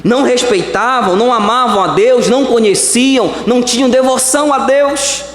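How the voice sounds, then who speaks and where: A Brazilian man preaching a sermon as pastor, swinging between slow emphatic words and quick phrases. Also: extremely high pitch. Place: church hall. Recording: echoey church hall.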